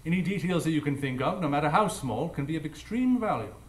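An actor playing a composed, precise gentleman detective in a live radio drama, speaking formally. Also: a little high, animated, quick pace, natural voice. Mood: formal, probing. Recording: echoey live stage recording.

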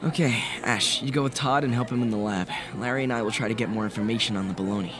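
A man voicing a calm, thoughtful, kindly teenage boy in a game dub. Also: medium pitch, fast, a crowd in the background, lightly acted. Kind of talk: game fandub acting. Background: guitar music.

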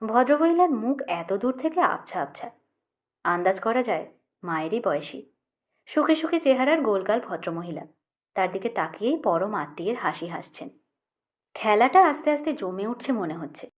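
A woman's voice: mid-range voice, big pitch swings, normal speed. A woman narrating a literary short story expressively, as an audiobook reader.

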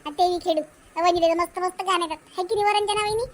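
A woman's voice: very high voice, fairly even intonation, fast. A woman voicing chatty, teasing village women in an amateur cartoon comedy, with a Varhadi Marathi accent. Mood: playful, chatty.

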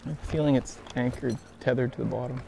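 A man's voice: medium pitch, fairly even intonation, brisk, gravelly, a little mellow, a little hiss or hum, rough recording. A young man with a Canadian accent, talking casually as he hosts a fishing vlog outdoors on a boat. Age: young adult. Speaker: host of a fishing show. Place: outdoors on a small boat on a lake.

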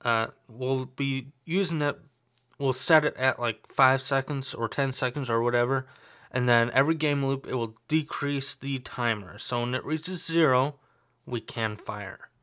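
A man's voice: medium pitch, a little slow. Man with a slight sore throat explaining. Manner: relaxed, explanatory, thinks aloud with fillers. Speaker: tutorial host. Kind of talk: screen-recorded coding tutorial.

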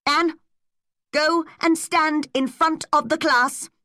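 A woman's voice: fairly high pitch, sing-song, slow, fairly bright tone, dry recording, clean studio sound, pitched above the performer's own voice, heavily acted. A woman voicing a stern, unfair male village schoolteacher in a storybook, firm and scolding.

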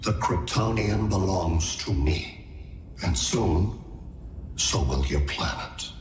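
A man voicing a cold, superior alien android conqueror in a video game, deliberate, menacing and imperious. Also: deep voice, expressive, roomy sound, faint music behind. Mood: cold menace. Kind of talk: video game cutscene acting.

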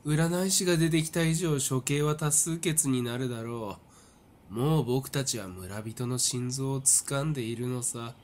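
A man playing a smug young madman in a voice drama, suave, mocking and drawn-out in his delivery. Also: medium pitch, darkish tone, slight background noise, close to an everyday voice. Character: smug, theatrical young male madman relishing a deadly game. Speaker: voice actor. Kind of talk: anime-style voice drama acting.

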